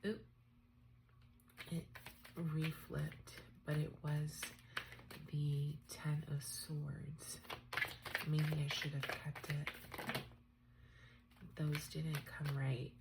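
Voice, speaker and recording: A woman with a stuffed-up cold, doing a tarot reading in a soft, often half-whispered, musing way. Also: low-pitched, level tone, drawn-out words, long pauses, heavy background noise. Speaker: tarot reader. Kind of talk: unscripted tarot reading for viewers.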